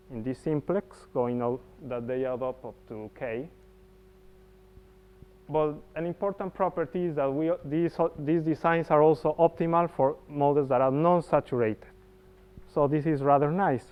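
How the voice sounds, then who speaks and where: A man presents at a research seminar, working through his slides in a somewhat high voice. He pauses often and restarts his sentences, leaning on "well" as he goes. The recording sounds dull and lo-fi. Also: clear, resonant tone. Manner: hesitant, many restarts and "well"s. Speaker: conference speaker. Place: seminar room.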